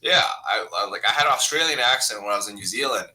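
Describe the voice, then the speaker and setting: A man in his early thirties, born in China, a guest comedian telling stories in a casual podcast interview, animated and swearing freely. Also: quick pace, slight background noise, low-quality recording. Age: 30s. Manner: animated, cursing, bursts into loud higher voice when telling stories.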